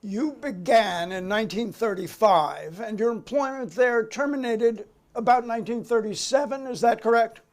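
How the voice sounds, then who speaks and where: A man's voice: sits high, moderate pace, hardly any pauses. A man playing a methodical committee counsel in a dramatic hearing reading, putting formal, clipped questions to a witness. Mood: businesslike. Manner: clipped, official, persistent questioning.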